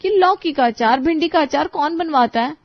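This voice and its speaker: A woman's voice: high voice, expressive, normal speed, dry recording. A woman voicing a frantic, complaining young daughter-in-law, on a dry, muffled studio recording. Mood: exasperated.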